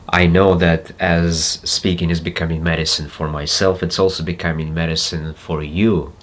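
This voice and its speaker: A man with a Russian accent, a native Russian speaker, talking slowly and reflectively to camera and pausing to search for words. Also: deep voice, a bit bright. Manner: slow, deliberate, searching for words with pauses. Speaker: self-development video host. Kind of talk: slow reflective monologue to camera.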